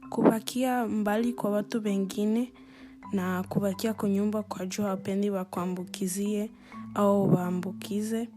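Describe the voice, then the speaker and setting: A woman speaking in slow, careful phrases. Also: mid-range voice, fairly even intonation, mellow. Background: music.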